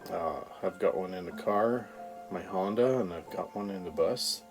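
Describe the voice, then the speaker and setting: A middle-aged Canadian man, a comedian hosting his show, talking casually to viewers in a halting, rambling, informal way. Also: low-pitched, music in the background. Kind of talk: casual vlog talk to viewers.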